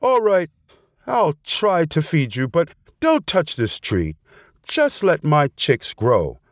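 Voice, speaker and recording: A man's voice: a little high, sing-song, a little slow, no echo, studio quality, voice pushed up. A man voicing an anxious, earnest mother turtle dove in a storybook tale. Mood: distressed, begging. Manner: pleading, earnest. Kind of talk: storybook character voice.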